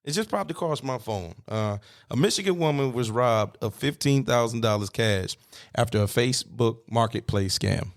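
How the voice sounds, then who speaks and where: A man's voice: mid-range voice, animated, normal speed. A man in his thirties hosting a casual podcast, laid-back, laughing often and swearing freely. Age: 30s. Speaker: podcast host.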